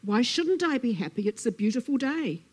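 An irritated female snake from a children's storybook, read out by a woman. She insists she is perfectly fine, with fairly high, emphatic and exasperated delivery. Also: sing-song, moderate pace, breathy edge. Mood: irritated, insistent.

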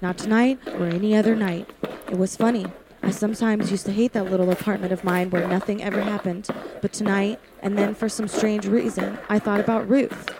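A woman's voice: mid-range voice, level tone, fairly quick, background noise, natural voice. A woman playing a frightened young working woman held by a killer in a radio thriller, pleading, faltering and sometimes defiant, also narrating. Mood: tense narration. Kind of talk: classic radio thriller acting with first-person narration.

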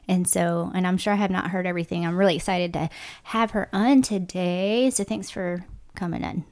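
A woman's voice: lowish voice, fairly even intonation, normal speed, a little mellow. Chatty woman hosting a podcast. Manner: friendly, chatty, laughs often. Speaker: podcast host. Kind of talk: casual faith podcast interview.

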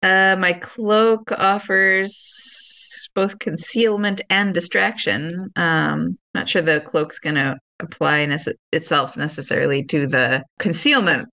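Woman, lowish pitch, casual tabletop player, musing aloud. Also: fairly even intonation, slow. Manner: thinks out loud, musing. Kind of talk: casual chat.

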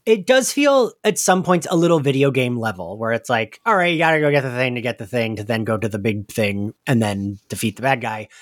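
A man's voice: medium pitch, animated, brisk, few pauses, no room sound, clean studio sound. A man hosting a podcast in a casual, chatty style. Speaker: podcast host.

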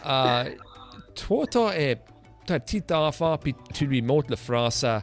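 A man's voice: medium pitch, expressive, a little slow, over music. A man hosting a casual interview, asking questions hesitantly and dropping English fillers. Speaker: podcast host.

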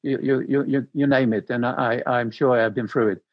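An older man from Sweden with a Swedish accent, speaking English as a non-native and recounting his life in a halting way, repeating words as he searches for them. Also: mid-range voice, fairly even intonation, no room sound, lo-fi sound. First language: Swedish and Danish. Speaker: interview guest.

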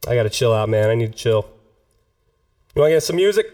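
A man's voice: medium pitch, expressive, fast talker, a little mellow, far from the mic. A man co-hosting a radio show in casual banter, talking in profane slang. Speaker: radio show and podcast co-host. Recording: off-mic, faint music behind.

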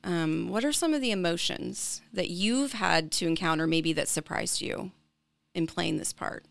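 Female co-host, friendly questions, gravelly and bright. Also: fairly low pitch, normal speed, no echo. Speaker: podcast co-host. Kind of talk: casual podcast interview.

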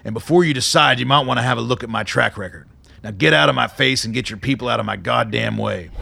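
An actor playing a hard-bitten, blunt, profane army colonel in a radio drama, speaking with gruff authority. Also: male voice, mid-range voice, fast, sharp tone, a little hiss or hum. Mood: angry, forceful.

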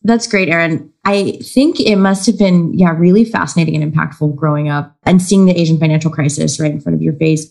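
A woman from Texas hosting a podcast interview, asking questions in a friendly, measured way. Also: sits low, vocal fry, a little mellow. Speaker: podcast host.

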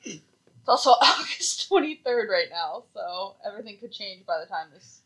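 A woman co-hosting a podcast whose voice has a bright, sharp edge. She talks in a relaxed, casual way and keeps throwing in dry, teasing one-liners. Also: medium pitch, normal speed, low-quality recording. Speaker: podcast co-host. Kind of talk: casual chat.

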